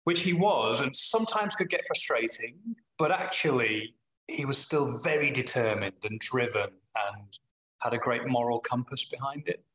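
Middle-aged Manchester man, a guest reflecting on leadership: bright, forceful tone, thoughtful pauses and self-corrections. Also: medium pitch, expressive, rough recording. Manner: thoughtful, with frequent pauses and restarts. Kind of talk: reflective interview answers.

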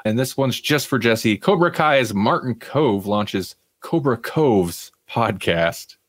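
A man's voice: medium pitch, lively intonation, takes it slowly. A man hosting a podcast, casually chatting through entertainment news and sometimes reading aloud, with wry, self-deprecating humor. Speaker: podcast host.

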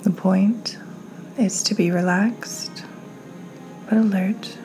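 A woman hosting and guiding a meditation in a calm, gentle, soothing manner, her soft delivery often slipping toward a breathy near-whisper. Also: medium pitch, slow pace, music in the background, rough recording. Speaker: podcast host, meditation guide. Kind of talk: guided meditation, slow and soothing.

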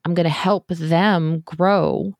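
A woman in her forties with a sweet, low-pitched voice talks earnestly about her work as a podcast producer. She sounds upbeat and laughs easily. The recording is dry and close, with no room echo. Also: steady tone, slow pace, vocal fry, a little mellow. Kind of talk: casual interview conversation.

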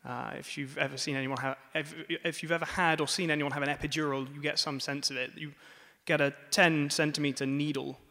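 A man's voice: fairly high pitch, little pitch movement, brisk, gravelly, hardly any pauses, sharp tone. A young man from France with a French accent, telling a personal story live to an audience, chatty and self-mocking with lots of ums. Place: museum event space. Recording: live hall with long reverb.

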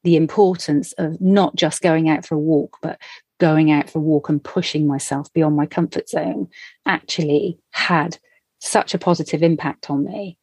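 A woman's voice: low-pitched, steady tone, normal speed, a little mellow, breathy edge, no room sound. Enthusiastic woman podcast host. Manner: enthusiastic, effusive. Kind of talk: interview hosting.

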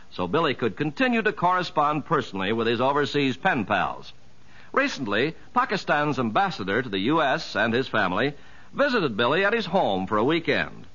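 A man's voice: mid-range voice, pushed and bright. An American man announcing on 1950s radio, reading a script in a polished, formal delivery. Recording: dull vintage 1950s radio recording.

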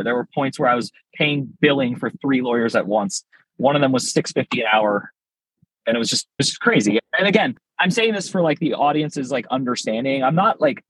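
A man with a slightly husky voice speaks as a podcast guest. He talks candidly and at length, filling his sentences with "like." The recording is dry and close, with no room sound. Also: medium pitch, fairly bright tone, dry recording, rough recording. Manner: candid, rambling, frequent "like" fillers. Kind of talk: casual podcast interview.

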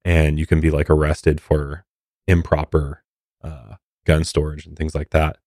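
An American man hosting a true crime podcast discussion, talking in a measured, conversational, hedging way. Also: rumbling low, monotone, darkish tone, no room sound, clean studio sound. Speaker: podcast host.

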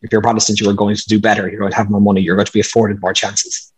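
A man's voice: fairly low pitch, little pitch movement, racing, breathy edge. A man talking earnestly in a casual interview, explaining at length with some restarts. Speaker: podcast host, interview guest.

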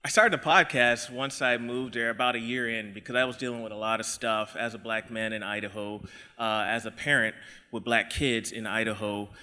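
A man from Texas speaks to a live crowd in a bright, ringing voice, friendly and quick to joke. His tone is smooth and clear. The sound carries a long echo from the hall. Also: mid-range voice, fairly even intonation. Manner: friendly, joking, conversational with restarts. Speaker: podcast host and equity trainer, guest speaker. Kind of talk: live talk to an audience. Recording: echoey hall.

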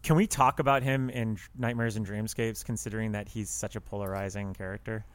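Halting, discursive male podcast co-host. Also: on the low side, fairly even intonation, clean tone. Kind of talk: casual movie discussion.

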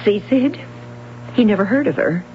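A woman's voice: medium pitch, lively intonation, moderate pace, natural voice. An actress playing a sarcastic, bitterly anxious mother of a missing daughter in a radio drama, speaking pointedly. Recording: muffled, noisy 1970s radio broadcast recording.

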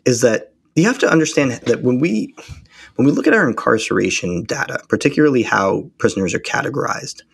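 A man's voice: lowish voice, creaky, breathy edge, no echo, studio quality. An American man speaking as an interview guest, explaining in an analytical, argumentative and precise manner. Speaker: interview guest, policy researcher.

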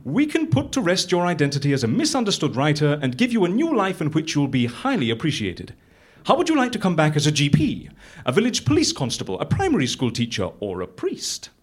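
Husky, wildly animated fixer. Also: male voice, fairly high pitch, fairly quick, heavily acted. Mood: brisk, persuasive. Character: brisk, matter-of-fact male fixer who fakes clients' deaths. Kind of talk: live audio comedy drama acting. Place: live on stage at a festival venue.

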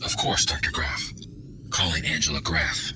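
A man playing a polite, unflappable computer assistant in an audio drama, speaking in a formal, measured way. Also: very deep voice, wildly swooping pitch, sharp tone, slight background noise, exaggerated voice. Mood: calm, courteous. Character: polite, unflappable male AI assistant of an undersea base.

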